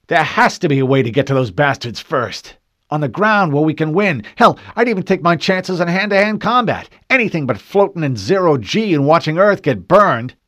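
A man's voice: on the high side, expressive, moderate pace, fairly bright tone, no room sound, studio quality, voice pushed up. A man voicing a hot-headed, brash young male marine in an audiobook, with an aggressive, hissing delivery and a foul mouth. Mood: angry, defiant. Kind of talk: audiobook character voice.

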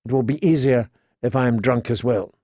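A man's voice: medium pitch, brisk, mellow, no room sound, studio quality, close to an everyday voice. A man voicing a frightened young noblewoman who speaks timidly and formally. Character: frightened young noble bride, meek and polite.

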